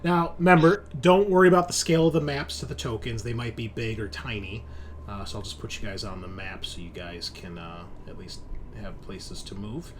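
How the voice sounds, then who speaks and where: A man narrating a tabletop role-playing game as game master, conversational and halting as he thinks aloud. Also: on the low side, background noise.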